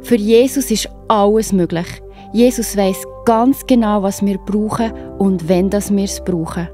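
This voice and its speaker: Mellow Swiss German woman narrator. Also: medium pitch, fairly even intonation, a little slow, faint music behind. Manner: encouraging, addressing the young listener directly. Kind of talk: storytelling narration for children.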